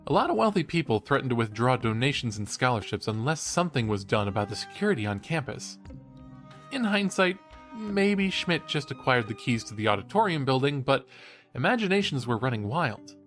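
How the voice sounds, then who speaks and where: A young male college student hosting a true-crime podcast in an audio drama. He is cheeky and enthusiastic, with an animated, mid-pitched delivery. Faint music runs underneath. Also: brisk. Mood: intrigued storytelling. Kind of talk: conversational audio drama acting as podcast host.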